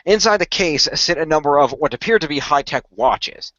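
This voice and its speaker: A man's voice: fairly high pitch, expressive, normal speed, a bit bright, no echo. A man narrating a radio play story in the present tense. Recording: dry, slightly dull close recording.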